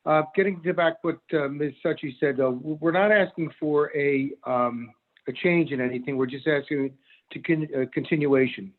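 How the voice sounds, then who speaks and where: A man in his sixties from Connecticut, a commercial broker testifying at a remote public hearing, speaking haltingly with many fillers. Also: fairly high pitch. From Norwalk, Connecticut. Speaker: commercial real estate broker. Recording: tinny phone-line audio.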